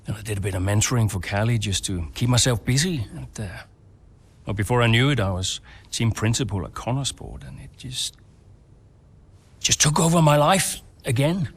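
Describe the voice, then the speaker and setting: A man's voice: medium pitch, animated, moderate pace, breathy edge. An actor playing a retired racing driver and father, speaking candidly and hesitantly, as if interviewed in a game cutscene. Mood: regretful, candid.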